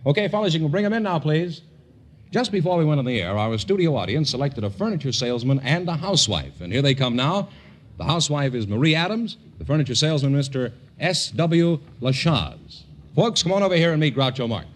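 A man's voice: fairly high pitch, big pitch swings, fairly quick. A man with an American accent announcing in an old-time broadcast style, as for a show or an ad.

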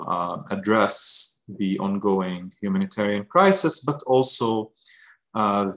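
A man in his thirties from Gaza speaks in a somewhat low voice. He introduces himself on a webinar over a video call. He is earnest and halting, with frequent pauses. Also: fairly bright tone, poor audio. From Gaza, Palestine. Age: 30s. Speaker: guest fellow.

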